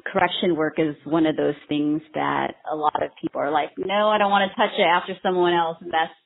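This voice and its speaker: A woman's voice: sits low, fairly even intonation, fairly quick, creaky, a little hiss or hum. A woman in her thirties from Vietnam, a brow artist and trainer, answering interview questions in an earnest way with many ums and restarts. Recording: tinny phone-like line.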